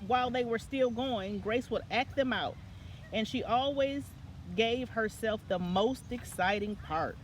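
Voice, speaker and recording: A woman's voice: on the high side, unhurried, slight background noise. A woman reading a children's picture book aloud as a story time reader, now and then stumbling and correcting herself.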